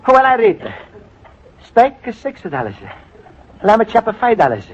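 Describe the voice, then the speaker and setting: An actor playing an earnest, broke Italian immigrant man on a date, speaking halting broken English with an Italian accent in 1940s radio comedy style. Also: high voice, huge pitch swings, exaggerated voice. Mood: earnest, flustered. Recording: dull old radio recording with faint noise.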